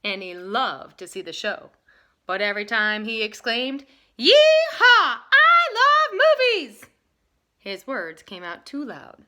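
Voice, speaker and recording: A woman teaching and reading a picture book aloud with emphatic, expressive delivery. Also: medium pitch, a little slow. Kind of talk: lesson talk and animated storybook reading.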